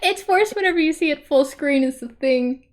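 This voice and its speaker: A woman hosting a livestream, chatting casually in an expressive, exclamatory way. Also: high voice, moderate pace. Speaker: livestream host.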